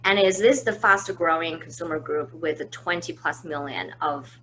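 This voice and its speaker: A woman's voice: low-pitched, normal speed, a bit bright, a little hiss or hum, low-quality recording. A woman hosting a conversational business webinar from home, easygoing and full of fillers and restarts. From China. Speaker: webinar host, marketing manager. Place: at home, indoors.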